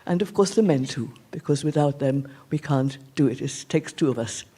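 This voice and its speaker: A man giving a reflective, earnest speech at a community event, speaking haltingly in an indoor hall. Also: mid-range voice, lively intonation, mellow, slightly rough. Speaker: guest speaker.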